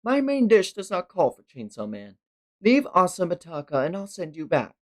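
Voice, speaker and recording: A man voicing an ominous, formal manga devil who speaks in a measured way. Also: high-pitched, wildly swooping pitch, pitched above the performer's own voice. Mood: commanding.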